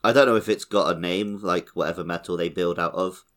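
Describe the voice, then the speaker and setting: A man's voice: low-pitched, fairly even intonation, fairly quick, fairly bright tone. A man hosting a podcast, chatting casually about a video game. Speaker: podcast host.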